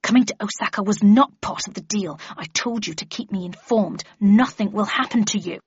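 A woman voicing an angry, frantic male villain henchman in an audiobook, shouting threats. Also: medium pitch, normal speed, breathy edge, dry recording, dull audio. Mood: angry, yelling. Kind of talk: audiobook character voice.

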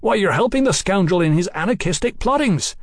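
A man voicing an earnest, alarmist Victorian gentleman in an audiobook, speaking formally and emphatically. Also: mid-range voice, fast talker, slightly rough, no echo, studio quality, lightly acted. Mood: horrified, exasperated.

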